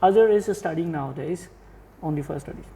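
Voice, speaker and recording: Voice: mid-range voice, brisk, mellow, long reverb tail, slight background noise, low-quality recording. An interviewer hosting a podcast, asking questions in a conversational way and affirming often with short okays.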